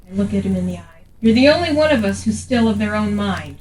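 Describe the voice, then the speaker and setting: A woman's voice: medium pitch, animated, quick pace, a little mellow. A woman doing casual in-character role-play as a player in a tabletop game. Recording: echoey, distant mic with faint noise.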